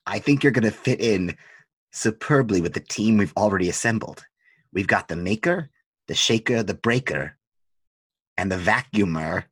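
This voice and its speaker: A man playing an awkward vigilante team recruiter in a comedy sketch, speaking in a husky, halting way. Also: on the low side, lively intonation, fairly bright tone, no echo, exaggerated voice. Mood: awkward, earnest. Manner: hesitant, halting.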